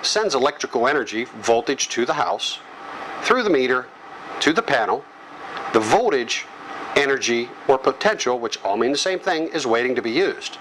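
A middle-aged American man giving an instructional lecture, explaining in short, measured phrases. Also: medium pitch, sing-song, background noise. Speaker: electrical training instructor. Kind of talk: instructional lecture read from slides. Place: small office or classroom with whiteboard, indoors. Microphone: lapel microphone.

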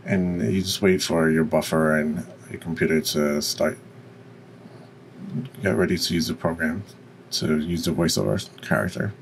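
A man with a deep voice giving an informal, explanatory software tutorial. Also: fairly even intonation, a little slow, slight background noise, low-quality recording. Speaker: tutorial presenter.

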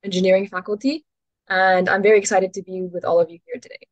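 A woman's voice: medium pitch, fairly even intonation, quick pace, no room sound. A young woman from Iran and Dubai introducing herself as a student on a lo-fi video call. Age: young adult. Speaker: student ambassador. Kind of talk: brief self-introduction.

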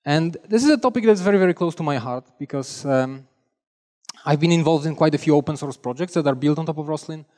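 A man's voice: on the high side, fast talker, dark tone. Polish-accented man speaking in a reverberant hall. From Poland. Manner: chatty, self-deprecating, with frequent restarts. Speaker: conference speaker. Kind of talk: technical conference talk. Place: large conference hall stage. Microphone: headset mic.

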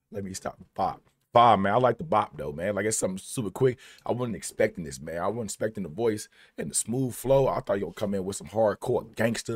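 A man's voice: deep voice, husky. A man in his thirties with a Kentucky accent, losing his voice, giving a laid-back reaction to a song from a small home studio. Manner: laid-back, slangy, many "you know what I'm saying". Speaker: music reaction host. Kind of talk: casual reaction commentary.

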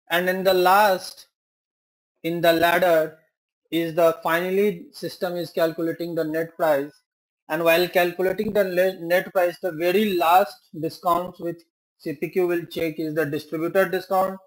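High-voiced man explaining step by step. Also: fairly even intonation, unhurried, low-quality recording. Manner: explains step by step, many fillers and self-corrections, asks rhetorical questions. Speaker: software trainer. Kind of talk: screen-share tutorial walkthrough.

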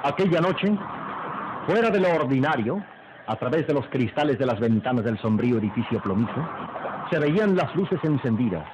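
A man's voice: medium pitch, animated, moderate pace, long pauses, heavy background noise, lightly acted. A man performing as the solemn, grandiloquent narrator of an old melodramatic radio serial. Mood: ominous, solemn. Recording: dull old radio recording, loud music.

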